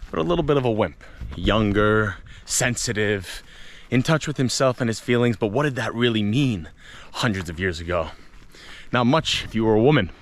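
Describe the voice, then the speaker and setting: A man narrating a casual walking travel vlog, telling local tales in a playful, theatrical way with dramatic pauses. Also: mid-range voice, slight background noise. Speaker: vlog host and storyteller. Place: outdoors, walking in a hilly lakeside village and vineyards.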